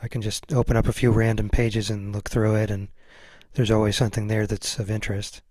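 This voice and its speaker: Low-voiced, tentative male podcast host. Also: flat delivery, a little fast, darkish tone, no echo. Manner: tentative, hesitant phrasing. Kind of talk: casual interview chat.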